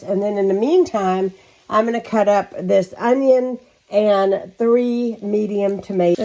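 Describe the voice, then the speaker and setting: Chatty slightly hoarse woman in her forties. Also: medium pitch, a little mellow, rough recording. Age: 40s. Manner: chatty, rambling, many ums. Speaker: home vlogger. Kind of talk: casual catch-up and cooking chat.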